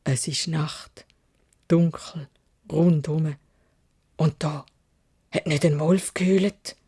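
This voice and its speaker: A woman's voice: deep voice, steady tone, takes it slowly, mellow, slightly rough, exaggerated voice. A woman voicing a humble travelling merchant and loving father in a fairytale, earnest and plain-spoken, with a Swiss German accent. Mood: uneasy.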